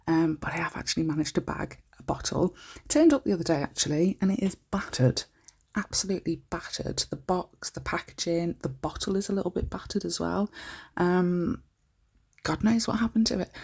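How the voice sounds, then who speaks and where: A woman talks through a nail art tutorial, her voice croaky and groggy. The tone sits a little low, gravelly with vocal fry, and husky. She chats casually and keeps stopping to correct herself. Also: darkish tone. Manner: chatty and informal, breaks off often to correct herself.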